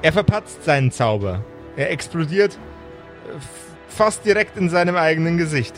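Slightly high-voiced male game master over music. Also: lively intonation, moderate pace. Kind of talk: dramatic improvised storytelling.

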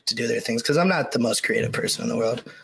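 A man talks as a guest in a casual chat. He tells his story loosely, with fillers and restarts. His voice has an ordinary middle pitch. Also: very fast, vocal fry, a crowd in the background. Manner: easygoing storytelling with many fillers.

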